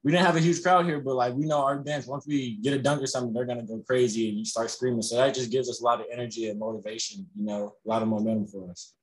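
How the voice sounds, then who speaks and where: A young man, a college basketball player, answering reporters on a video call after a game, relaxed and full of "you know" fillers. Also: mid-range voice, fast, few pauses, breathy edge, poor audio. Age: young adult. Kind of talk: postgame press conference answers. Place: indoors in front of a logo backdrop.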